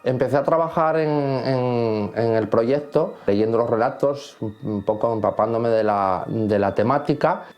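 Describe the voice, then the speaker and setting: A man with a mid-pitched voice talks as a composer being interviewed. He reflects on his own work, often hesitating as he searches for the next word. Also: measured pace, few pauses, dull audio. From Asturias, Spain.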